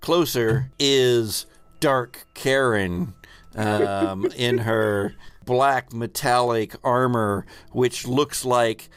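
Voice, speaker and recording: A man acting as game master, narrating an improvised tabletop story in a halting, amused way. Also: medium pitch, smooth, no room sound.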